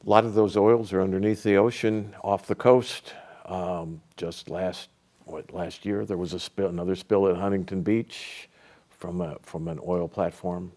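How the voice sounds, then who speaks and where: An older man hosting a TV studio interview, asking measured, probing questions. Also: deep voice, little pitch movement, a little mellow. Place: small TV studio set.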